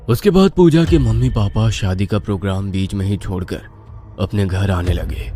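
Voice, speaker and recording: A man's voice: lowish voice, mellow. A man narrating a scripted horror story in a suspenseful, theatrical way.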